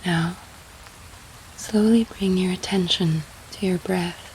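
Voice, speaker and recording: A woman guides a sleep meditation in a soothing, breathy half-whisper. Her voice sits slightly low and stays very even, with an unhurried, slow delivery. Soft rain plays faintly behind her. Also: slight background noise, poor audio.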